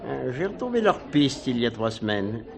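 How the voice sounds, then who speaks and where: A man's voice: mid-range voice, big pitch swings, moderate pace, gravelly, some chatter behind. A man acting a persistent, formal insurance investigator in a French stage play, precise and insistent. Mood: matter-of-fact, firm. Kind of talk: French theatre dialogue acting.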